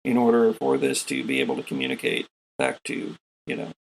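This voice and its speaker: A man acting as game master, narrating an improvised tabletop game in a measured, halting explanatory way. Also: medium pitch, very animated, creaky, a little hiss or hum, rough recording.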